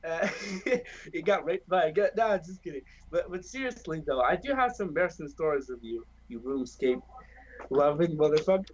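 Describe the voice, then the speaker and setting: A man with a high, bouncy voice that swings all over, crude and joking as he spins a wild story. His tone is breathy and husky. It comes through a dull, lo-fi mic. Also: lively intonation, normal speed. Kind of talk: rowdy casual chat.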